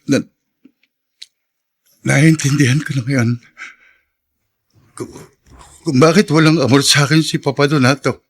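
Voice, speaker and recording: An actor playing a stunned, hurt son with a Filipino accent in a radio drama. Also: male voice, mid-range voice, fairly even intonation, measured pace, long pauses, breathy edge, dry recording. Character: stunned, hurt son learning of his parentage.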